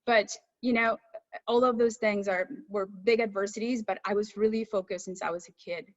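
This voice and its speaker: A woman's voice: medium pitch, fairly even intonation, moderate pace, highs cut off. A woman from Cuba, a native Spanish speaker, answering as an interview guest in a candid, conversational way. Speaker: astrophysicist guest.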